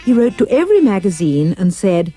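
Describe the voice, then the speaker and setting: A woman's voice: medium pitch, very animated, brisk, warm tone, breathy edge, faint music behind. A woman narrating a rhyming storybook tale for a puppet show with dramatic, emphatic delivery.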